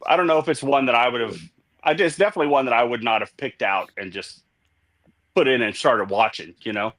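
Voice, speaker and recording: A man's voice: medium pitch, brisk, fairly bright tone. A man in his fifties, getting over a head cold, chatting casually as a guest in a watch-along stream. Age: 50s. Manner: rambling, chatty storyteller. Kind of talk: casual chat.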